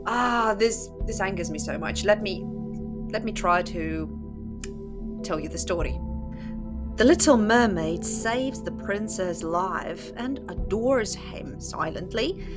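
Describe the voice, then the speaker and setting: A woman's voice: mid-range voice, lively intonation, slow. A woman hosting a channel, telling and commenting on stories in a casual, opinionated, sardonic and conversational way. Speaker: host of a book channel. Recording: music playing behind the voice. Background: instrumental music.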